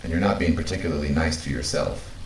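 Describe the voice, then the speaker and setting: A man playing a calm, precise artificial companion in an audio drama, measured, literal and gently deadpan. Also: rumbling low, echoey room, slight background noise, rough recording. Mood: gentle, deadpan. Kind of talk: naturalistic audio drama acting.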